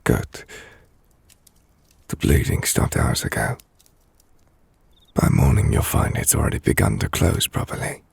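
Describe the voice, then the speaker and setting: A man playing a brooding, gentle, melancholy vampire-blooded castle lord in an intimate ASMR audio roleplay, hushed and husky, speaking slowly in measured, formal phrases. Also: rumbling low, sing-song, dry recording, full character voice. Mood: quietly reassuring. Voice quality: gravelly, husky, breathy.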